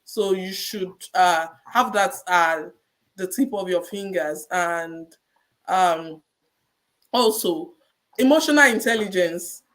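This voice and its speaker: A Nigerian-accented woman, a registered nurse, giving measured, encouraging advice in a podcast interview and repeating her key points. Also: on the low side, a bit bright.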